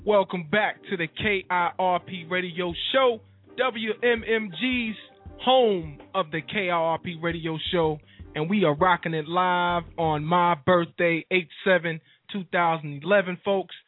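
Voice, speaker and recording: A man's voice: sits high, unhurried. A man in his thirties hosting a live radio show, chatting in an upbeat, joking, easygoing way. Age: 30s. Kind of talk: casual live radio chat. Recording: dry, tinny phone-like sound with faint music.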